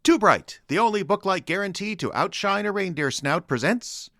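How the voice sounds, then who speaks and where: An actor playing a chipper old-time radio announcer, delivering sponsor pitches in an emphatic, mock-earnest sales style. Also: male voice, lively intonation, moderate pace, fairly bright tone, dry recording, clean studio sound. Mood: upbeat, selling.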